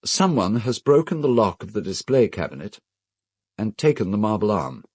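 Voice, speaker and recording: An actor playing a fastidious, polite British gentleman host in an audio drama, measured and slightly diffident. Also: on the low side, sing-song, slightly rough, no room sound. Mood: discreet, troubled. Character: courteous, fastidious gentleman host of an island estate.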